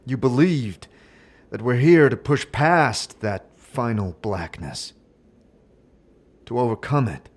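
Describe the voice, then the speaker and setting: A man performing scripted narration and dialogue in an audio drama, in a measured, deliberate manner. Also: mid-range voice, animated.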